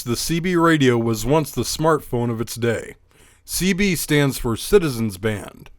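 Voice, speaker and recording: A man hosts a scripted electronics explainer. His voice sits at an average pitch and his delivery is plain and instructional. The recording is close and dry, with little room sound. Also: no room sound. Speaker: host and narrator of an electronics channel.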